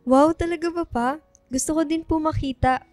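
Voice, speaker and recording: A woman's voice: high-pitched, steady tone, moderate pace, darkish tone, faint music behind, close to an everyday voice. A woman playing a sweet, playful young student daughter in a radio drama, affectionate, teasing and encouraging.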